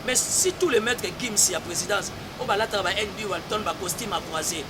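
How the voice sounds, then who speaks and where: A man's voice: high voice, a little fast. A man with a Congolese French accent, a church spokesperson arguing a political point in an emphatic debate, repeating key phrases and mixing in Lingala. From Congo-Kinshasa. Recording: loud street traffic noise.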